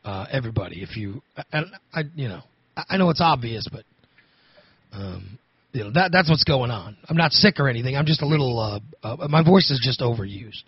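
A man hosting a talk radio show, hoarse from overusing his voice, rambling and restarting his sentences. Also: mid-range voice, lively intonation, a little fast, no echo, muffled recording. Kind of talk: casual talk radio monologue.

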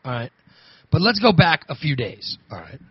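A man hosting a talk radio show, hoarse from overusing his voice, rambling and restarting his sentences. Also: a little high, very animated, moderate pace, no echo, muffled recording. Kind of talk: casual talk radio monologue.

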